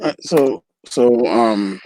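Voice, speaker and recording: A man's voice: mid-range voice, extremely slow, dark tone. A man hosting a livestream debate, hesitant and repeating words as he builds his point. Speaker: livestream host. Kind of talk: casual debate chat.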